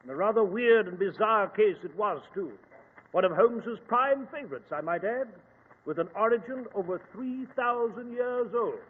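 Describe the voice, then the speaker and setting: An actor playing a genteel British gentleman doctor who recounts detective adventures in an old-fashioned 1940s radio drama style. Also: high-pitched, lively intonation, moderate pace, breathy edge. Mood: genial storytelling. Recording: hissy, narrow-band 1940s radio broadcast, reverberant.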